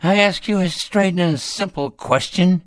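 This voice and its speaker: Elderly man, crusty, sardonic, stubborn, deliberate slow pace, radio drama, faint music behind. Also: high voice, no room sound. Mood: wry, probing. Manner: cantankerous, florid, sardonic speech.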